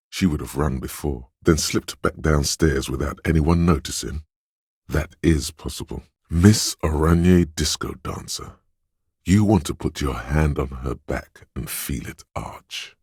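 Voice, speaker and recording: Slick, cocky game-character hustler: extremely low, very husky bass, theatrical money-hungry swagger. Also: male voice, measured pace, a little mellow, no room sound, clean studio sound, heavily acted. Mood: cool, observant. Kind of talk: video game voice acting, dramatic narration.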